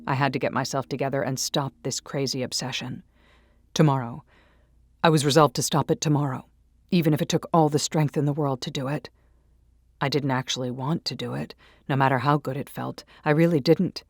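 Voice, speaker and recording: A woman's voice: very deep voice, fairly even intonation, fairly quick, dry recording, clean studio sound. A woman narrating a first-person story in a dramatic, intimate and intense manner.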